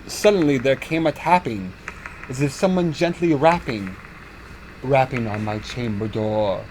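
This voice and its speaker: A young man giving a theatrical, brooding recitation of gothic horror poetry, moving between hushed and loud delivery. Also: medium pitch, a little hiss or hum. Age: young adult. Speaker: performer reciting a poem. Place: furnished basement living room.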